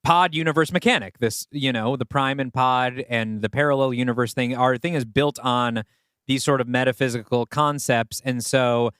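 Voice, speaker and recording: Clear-voiced man casually floating ideas. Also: medium pitch, normal speed, a bit bright, dry recording, clean studio sound. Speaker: podcast co-host and writer. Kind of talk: casual story brainstorming.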